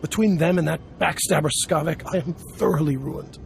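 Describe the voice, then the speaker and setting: Casting: scheming game fixer, gravelly mid-range voice, worldly and wry, music underneath. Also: male voice, a little high, a little fast, a little mellow. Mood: wry, bitter.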